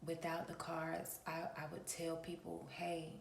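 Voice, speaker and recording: A woman hosting her own channel, talking in a relaxed early-morning vlog with a raspy voice and a preachy manner, often clearing her throat. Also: deep voice, a little slow, reverberant, slight background noise. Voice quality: gravelly. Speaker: spiritual reader and channel host.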